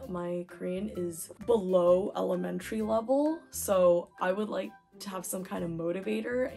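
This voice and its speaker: A young woman with a hoarse voice from talking too much, chatting excitedly while unboxing manga. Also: medium pitch. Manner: chatty, excitable, lots of fillers. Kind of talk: casual unboxing chat.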